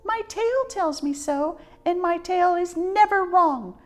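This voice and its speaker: Tiny squeaky magical mouse. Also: female voice, takes it slowly. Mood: solemn, insistent. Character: tiny shy female magical mouse, solemn and certain.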